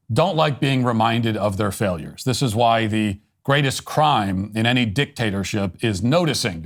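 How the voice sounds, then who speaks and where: A man hosting a political commentary show, delivering a scripted monologue in a sardonic, emphatic way. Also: mid-range voice. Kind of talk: read ad endorsement.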